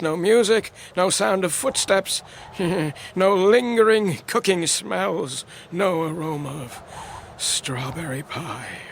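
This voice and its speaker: A haunted, lonely old man in a radio drama, with a high voice full of creak. He talks slowly and haltingly, brooding one moment and agitated the next. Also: a little hiss or hum, exaggerated voice. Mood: weary, desperate. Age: older. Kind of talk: dramatic radio play acting.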